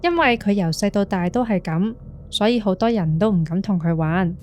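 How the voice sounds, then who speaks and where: A woman's voice: medium pitch, lively intonation, moderate pace, a little mellow, a little hiss or hum, close to an everyday voice. An actress with a Hong Kong Cantonese accent playing an earnest young woman recounting a friend's eerie experience in a ghost-story podcast. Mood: uneasy, reflective. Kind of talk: scripted ghost-story monologue.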